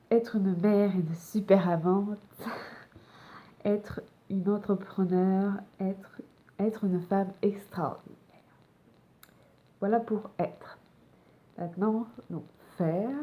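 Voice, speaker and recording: A woman's voice: medium pitch, dark tone. A young Frenchwoman with a French accent, talking casually to camera in a hesitant way, with many fillers and restarts. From France. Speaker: vlogger. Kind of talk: personal casual talk to camera. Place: home in Montreal.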